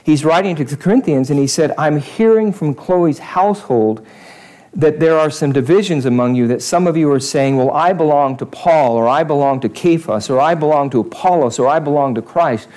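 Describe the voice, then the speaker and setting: A man's voice: on the high side, normal speed, a little mellow. A male pastor giving a conversational sermon in church, repeating phrases for emphasis.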